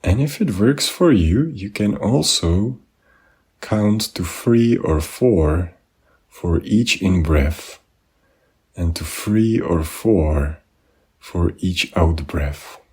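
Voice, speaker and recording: A man leading a guided meditation, giving gentle, unhurried instructions with pauses. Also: low-pitched, expressive, breathy edge. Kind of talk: guided body scan meditation.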